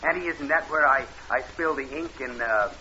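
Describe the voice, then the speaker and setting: An actor playing a cold-blooded, calculating con man, narrating and talking smoothly in 1940s radio thriller style. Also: medium pitch, a little fast, sharp tone. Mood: ingratiating. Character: scheming cold-blooded male con man posing as nephew. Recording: noisy, dull old radio recording.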